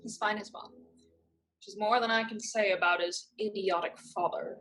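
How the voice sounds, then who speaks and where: A woman's voice: mid-range voice, steady tone, moderate pace, fairly bright tone, natural voice, rough recording. A woman acting a blunt, proud young female pirate fighter in a staged reading of a drama. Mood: teasing, wry.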